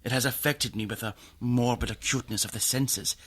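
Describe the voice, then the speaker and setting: A man acts out a sickly, high-strung nobleman in a radio play. His voice is sharp and rough-edged, and he speaks in a theatrical, overwrought way, as if his nerves were frayed. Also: steady tone, a little fast, lightly acted. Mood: brooding. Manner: theatrical, overwrought, swings from rapture to gloom. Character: ailing, nervous, morbidly sensitive aristocrat, given to frenzy. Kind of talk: radio drama acting.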